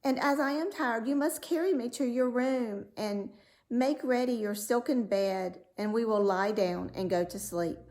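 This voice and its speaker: A woman's voice: a little high, measured pace, darkish tone, pitched above the performer's own voice. A woman voicing a small, pushy, demanding male frog in a storybook, bossy and insistent as it chants its demands. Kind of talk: storybook read-aloud character voice.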